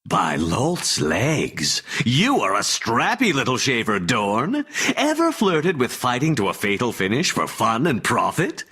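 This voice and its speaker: An actor playing a sly, greedy fight promoter in a fantasy game, raspy, with theatrical alliterative patter. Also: male voice, medium pitch, huge pitch swings, unhurried, pushed and bright, full character voice. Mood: wheedling.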